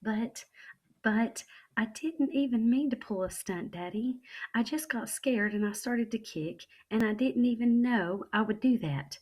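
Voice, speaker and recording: A woman voicing an excitable, dramatic little girl who shrieks and chatters breathlessly. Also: a little high, unhurried, voice pushed up. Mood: tearful. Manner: shrieking, bubbly, breathless exclamations.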